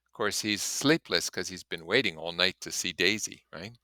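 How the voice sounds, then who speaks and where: A man's voice: low-pitched, moderate pace, bright, forceful tone, no room sound, clean studio sound. A man reading a story aloud in an audiobook style, with casual commentary of his own. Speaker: reader and commentator.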